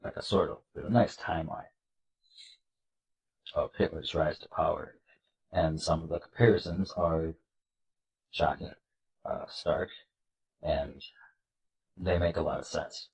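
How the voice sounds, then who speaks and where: A man with a congested, nasal voice from a cold, hosting a video and reading slides aloud in an earnest, measured way. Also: rumbling low, steady tone, vocal fry, a little mellow, low-quality recording. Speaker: political video host.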